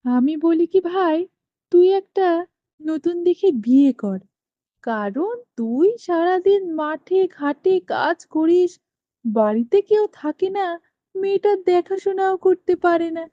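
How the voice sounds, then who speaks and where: Cartoon village schemer, played by a woman, persuasive, coaxing, very high pitched, dry dull recording. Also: expressive, a little slow, dry recording.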